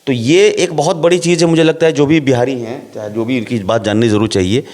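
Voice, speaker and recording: A middle-aged man, an actor, talking in a relaxed interview and laying out his points with rhetorical questions. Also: mid-range voice, brisk. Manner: explains at length with rhetorical questions.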